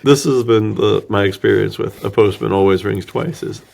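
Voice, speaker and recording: A man's voice: fairly low pitch, normal speed, vocal fry, darkish tone, slight background noise. A man co-hosting a podcast, chatting casually and jokingly about film in an informal way. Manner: informal, joking, prone to chuckling. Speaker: podcast co-host.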